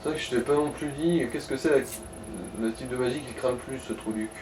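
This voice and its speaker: A man's voice: mid-range voice, brisk, background noise. A man giving casual, slangy gaming advice in speech, explaining tactics with crude language. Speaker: let's play co-commentator advising the player.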